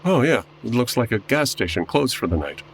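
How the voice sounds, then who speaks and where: A man's voice: medium pitch, big pitch swings, fairly quick, a little hiss or hum. An actor playing an anxious, bewildered, earnest man from the 1940s in a comedy audio drama. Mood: weary, puzzled. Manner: fretful, earnest. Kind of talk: comedy audio drama acting, old-time radio flavour.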